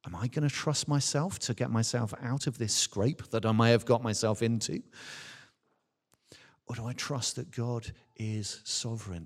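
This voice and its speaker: A man, a Baptist minister, preaching a sermon in an earnest, emphatic way with rhetorical questions and deliberate repetition. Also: mid-range voice, husky. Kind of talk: sermon to a congregation. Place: large church or hall, speaking from a stage. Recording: reverberant hall with long echo.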